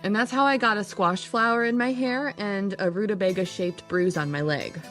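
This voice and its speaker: A woman's voice: medium pitch, normal speed, a bit bright, lightly acted. A woman playing a practical, impatient young female adventurer in an audio drama, telling the story in a sardonic, matter-of-fact way. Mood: wry narration. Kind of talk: scripted audio drama narration. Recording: music behind the voice.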